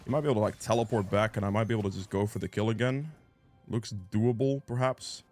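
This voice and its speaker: Low-voiced man commentating, a bit sniffly. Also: fast talker, a little mellow, soft background music. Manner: thinking aloud, reactive. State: sniffly with a cold. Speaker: gameplay guide creator and player. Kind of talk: live game commentary, casual.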